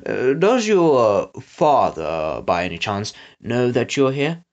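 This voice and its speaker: A reader voicing a pompous, scheming villain boss, a man with a slow, drawn-out, menacing delivery in a storybook reading. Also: medium pitch, wildly swooping pitch, creaky, pushed and bright, highs cut off, full character voice. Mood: nervous, oily.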